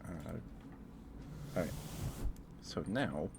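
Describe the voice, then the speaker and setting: A man's voice: sits low, expressive, very slow, gravelly, background noise. A man hosting a casual livestream, thinking aloud in a hesitant way. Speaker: livestream host.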